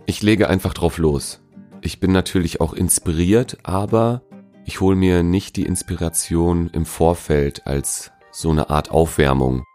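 Interviewee: man, notably low voice, casual colloquial delivery, faint music in the background. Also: a little slow, a little mellow. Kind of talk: casual chat, answering interview questions. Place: at home.

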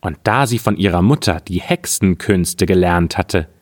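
A man narrating a scripted fairy tale as a storyteller, with a measured, expressive read-aloud delivery. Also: deep voice, no echo, studio quality.

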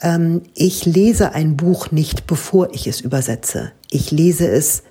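Husky low-voiced woman translator. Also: takes it slowly, dark tone. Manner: thoughtful, explains at length with frequent hesitations. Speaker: book translator interviewed by students. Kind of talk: explaining her work in a school podcast interview.

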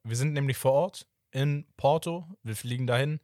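A man's voice: medium pitch, moderate pace, a little mellow, dry recording, clean studio sound. Hesitant young man plugging his podcast. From Portuguese background. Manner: hesitant, many fillers, plugs the show. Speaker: podcast co-host. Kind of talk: casual football podcast chat.

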